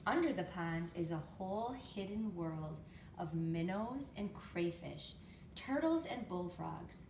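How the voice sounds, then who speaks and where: Roomy, low-voiced woman reading a storybook aloud. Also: takes it slowly. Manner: expressive, explanatory, prompts young listeners with questions. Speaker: storytime reader, teacher to young children. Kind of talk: picture book read-aloud with chatty asides. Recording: roomy, distant mic, faint background noise.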